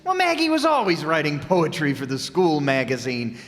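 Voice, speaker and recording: A man's voice: on the high side, wildly swooping pitch, normal speed. A man acting a breezy, oblivious husband in an old-time radio sitcom, with exclamatory comic delivery. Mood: eager, breezy. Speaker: amateur radio player. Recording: echoey hall stage performance.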